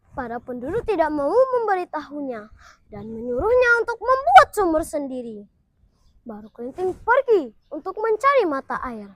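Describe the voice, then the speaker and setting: Girl of primary school age, very high voice, highly animated, theatrical folktale delivery, dry sound. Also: no echo. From Indonesia. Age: child, primary school pupil. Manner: dramatic, declamatory delivery. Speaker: student storyteller.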